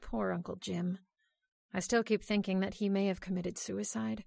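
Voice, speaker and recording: A woman's voice: mid-range voice, fairly even intonation, moderate pace, creaky, mellow, no room sound. A woman playing an inquisitive, earnest wife in an old-time radio drama, in a conversational style. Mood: wistful. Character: inquisitive married woman, wistful heiress to a house.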